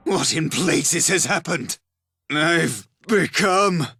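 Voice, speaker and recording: A man playing a resolute cursed hero turned beast in a video game, speaking slowly, with solemn drama. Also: on the high side, animated, vocal fry, heavily acted. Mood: bewildered. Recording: loud background music over voice.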